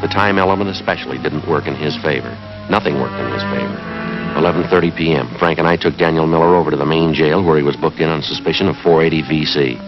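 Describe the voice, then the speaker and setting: An extremely deep-voiced American police sergeant from a 1950s TV drama, terse and matter-of-fact. He is clipped and methodical. The old soundtrack is dull, with prominent music underneath. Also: quick pace. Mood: deadpan. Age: 30s. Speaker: lead actor in a police drama. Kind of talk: 1950s TV police drama acting and scripted narration.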